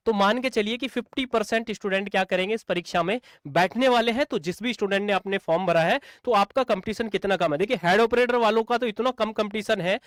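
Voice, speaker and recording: A man's voice: high-pitched, fairly bright tone, no echo, studio quality. A man explaining exam updates informally to students, repeating points and posing rhetorical questions. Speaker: exam coaching teacher.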